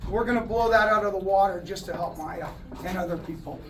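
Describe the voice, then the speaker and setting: A man's voice: high-pitched, a little fast. A man who runs a contracting business, giving a plainspoken speech at a company party with many fillers and restarts. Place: indoor event hall. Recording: distant mic in a crowded hall.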